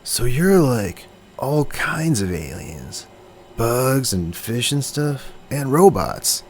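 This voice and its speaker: A man's voice: medium pitch, sing-song, slow, a little hiss or hum. A man playing a laid-back, dim young stoner who is easily amazed, speaking in slangy slacker style in an audio comedy. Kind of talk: comedic audio drama acting.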